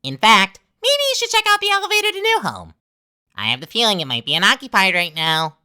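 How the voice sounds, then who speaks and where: A two-faced, wildly swooping talking flower. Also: female voice, medium pitch, wildly swooping pitch, brisk, sharp tone, smooth. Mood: coaxing, scornful. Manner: taunting, sarcastic, theatrical. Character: manipulative, two-faced talking flower posing as best friend. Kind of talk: dramatic game fan dub.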